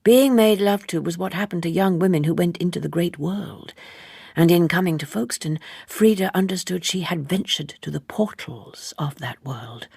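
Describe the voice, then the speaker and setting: Female audiobook narrator for literary fiction: low, husky, measured, understated, dry studio sound. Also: a little mellow, no echo.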